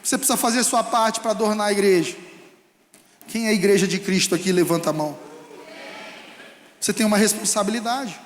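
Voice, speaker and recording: A male pastor with a Brazilian Portuguese accent preaching a fervent sermon that rises to shouts. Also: sits high, brisk, long pauses, a little hiss or hum. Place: church hall. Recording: echoey hall with long reverb.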